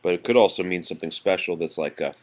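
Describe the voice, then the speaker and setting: A man reading nursery rhymes aloud to a young child in an animated sing-song, with patient, casual asides as a parent. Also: low-pitched, quick pace.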